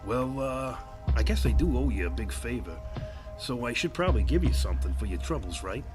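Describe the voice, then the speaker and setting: A man's voice: medium pitch, animated, fairly quick, over music, voice pushed up, close to an everyday voice. A man voicing a shifty, nervous killer who poses as a chummy bus driver, hesitant and folksy in an audio horror drama. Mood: wheedling. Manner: hesitant, folksy, filler-laden, nervous chuckles. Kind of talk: audio horror fiction character voice.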